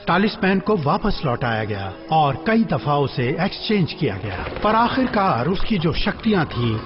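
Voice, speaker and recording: A man narrates a cartoon legend in a theatrical storybook style. His voice is fairly high with lots of movement in pitch. Music runs clearly behind the slightly muffled recording. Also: big pitch swings, measured pace. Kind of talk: dramatic storybook narration for animated film. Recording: dull lo-fi audio, music behind.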